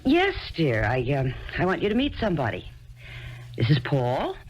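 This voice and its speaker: An actress in her thirties playing a gentle, dreamy woman poet who lives in a commune, in a 1970s radio drama, speaking softly and coaxingly. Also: low voice, huge pitch swings, normal speed, lo-fi sound. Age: 30s. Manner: soft, coaxing and warmly insistent.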